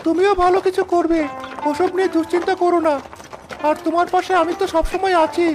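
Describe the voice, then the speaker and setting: A performer voicing a prince's loyal, cautious, caring companion in an animated fairy tale, with a thin voice. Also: extremely high pitch, fairly quick, over music. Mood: reassuring.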